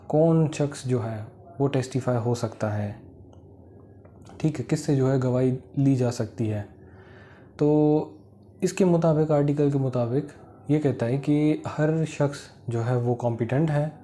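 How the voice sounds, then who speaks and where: A man's voice: medium pitch, mellow, a little hiss or hum. A man with a Pakistani accent giving an informal, explanatory law lecture, mixing English legal terms into his explanations.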